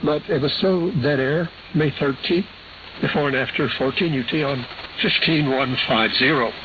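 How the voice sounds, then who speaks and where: A man presenting a radio programme, reading scripted news and listener reports in a measured, matter-of-fact way over shortwave radio. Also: a little high. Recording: hissy, dull AM shortwave radio reception.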